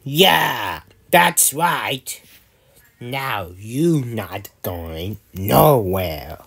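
A woman voicing a villainous male frog for amateur cartoon clips, gloating in a halting, stammering way. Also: very deep voice, sing-song, gravelly, slightly rough, exaggerated voice. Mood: gloating, menacing. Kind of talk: amateur improvised character voice clips.

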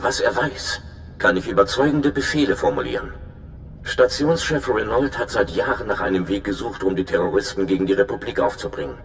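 Ein Schauspieler als ernster, berechnender Informant und Spion in einem Videospiel, der eindringlich erklärt. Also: male voice, fairly low pitch, a bit bright. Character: earnest, scheming male spy informant. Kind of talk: dramatic video game dialogue in German. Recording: echoey, noisy hologram transmission.

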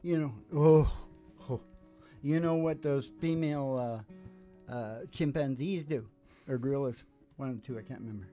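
A man's voice: medium pitch, normal speed, mellow, soft background music. An older man chatting casually on a small stage, joking in a loose, meandering way. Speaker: show host and musician. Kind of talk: rambling casual stage chat. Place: small dim curtained stage. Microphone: stage microphone up close.

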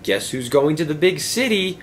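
A friendly, cheerful engine chats brightly in a raised, lively voice, as a man puts him on. It is a storybook toy-train character. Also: fairly high pitch, moderate pace, slight background noise, pitched above the performer's own voice. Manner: cheerful, upbeat.

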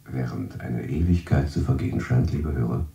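Mock-solemn announcer, extremely deep gravelly voice, roomy and distant. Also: male voice, moderate pace, mellow. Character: whispery, mock-solemn announcer addressing radio listeners. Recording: dull, roomy, distant sound.

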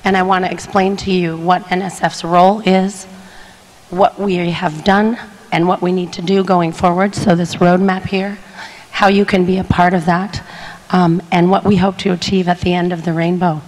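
A woman in her forties with a husky voice giving an earnest presentation into a handheld mic on a conference stage. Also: on the low side, flat delivery, normal speed, darkish tone. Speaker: panelist. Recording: echoey conference hall.

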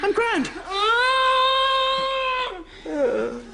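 A man's voice: very high voice, sing-song, extremely slow, vocal fry, heavy background noise, full character voice. A man playing a hapless young Irish expectant father, flustered and repetitive, in a broad sitcom style. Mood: panicked. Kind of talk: broad studio sitcom acting. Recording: sitcom soundtrack with loud studio audience.

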